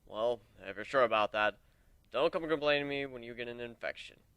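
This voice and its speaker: A man voicing a calm beast swordmaster in a story reading, measured and gently teasing. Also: medium pitch, smooth, no echo, pitched below the performer's own voice. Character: calm male furred beast swordmaster with a katana.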